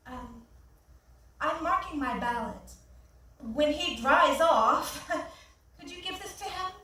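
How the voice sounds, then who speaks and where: A woman on stage playing a friendly, bookish nurse on a date, speaking in an eager, halting way in a staged play reading. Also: high voice, animated, bright, forceful tone, exaggerated voice. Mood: tender, earnest. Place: theatre stage, recorded from the audience. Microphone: far camera. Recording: echoey theatre, distant camera, faint noise.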